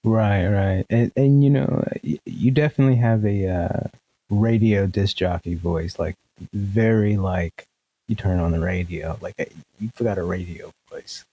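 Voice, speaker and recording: A man guesting on a podcast, talking in a relaxed way about his work, with a sleepy, nasal voice and many fillers. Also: deep voice, measured pace, husky, dull audio. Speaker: guest, pedal demo creator. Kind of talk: casual interview.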